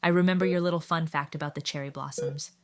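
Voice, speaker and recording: Woman playing proud reserved lady warplane, quite low voice, measured, reflective, audio drama. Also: steady tone, no echo, studio quality, close to an everyday voice.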